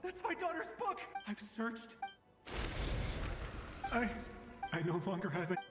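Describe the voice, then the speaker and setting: A man acting an anxious, weary father searching for his lost daughter in a video game, speaking haltingly and earnestly. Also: high-pitched, huge pitch swings, heavily acted. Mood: bewildered. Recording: echoey, long reverb, game music behind.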